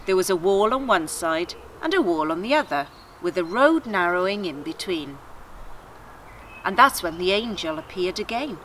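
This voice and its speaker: A woman's voice: lowish voice, animated, normal speed, fairly bright tone, a little hiss or hum. A woman voicing a wry, aggrieved female donkey who chattily tells her tale in a children's story. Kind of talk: children's story read aloud.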